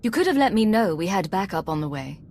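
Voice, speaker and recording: A woman's voice: mid-range voice, big pitch swings, fast talker, a little mellow, a little hiss or hum. A woman acting a resolute, pragmatic commander in a video game, guarded, terse and controlled. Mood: cool, firm.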